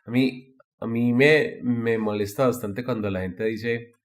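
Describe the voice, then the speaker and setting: A man's voice: mid-range voice, steady tone, a little slow. A man, giving opinions emphatically and casually as a podcast co-host, often repeating phrases.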